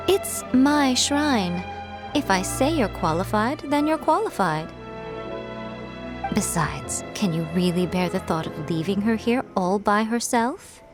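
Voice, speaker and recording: A woman's voice: medium pitch, animated, slow pace, music in the background. A woman playing a sly, self-assured head of a shrine in a video game, with a playful, coaxing manner. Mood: teasing, persuasive.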